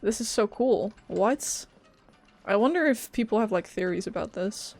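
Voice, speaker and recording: A woman's voice: medium pitch, lively intonation, normal speed, vocal fry, a little mellow. A woman game streamer giving casual live reactions, exclaiming and joking as she plays. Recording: faint game music behind. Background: game music.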